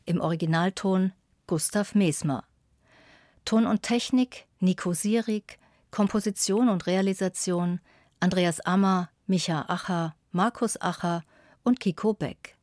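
A woman's voice: fairly low pitch, a little slow, a little mellow, no room sound, studio quality. A woman reading a scripted announcement as a radio drama announcer.

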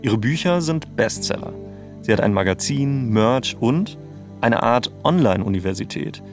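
A man's voice: mid-range voice, expressive, a little slow, faint music behind. A man hosting and narrating a documentary podcast from a script.

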